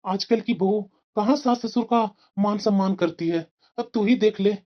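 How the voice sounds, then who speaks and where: A woman's voice: medium pitch, normal speed, natural voice. Woman as weary old father-in-law, dull sound. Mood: weary coaxing. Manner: plaintive, coaxing. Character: elderly father-in-law, weary, gentle, crafty. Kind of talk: Hindi moral-story cartoon acting.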